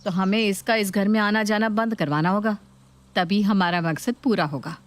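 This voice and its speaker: A woman's voice: medium pitch, fairly even intonation, moderate pace, close to an everyday voice. A woman voicing a sly female tenant, a scheming and gossiping meddler, in a dramatised story. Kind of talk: dramatised Hindi story video.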